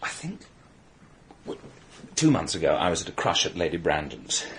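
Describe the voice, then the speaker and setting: An earnest, sensitive English painter in a radio drama. His low voice moves widely in pitch, confiding and hesitant yet intense, over faint background ambience. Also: huge pitch swings, normal speed, gravelly, slight background noise, full character voice.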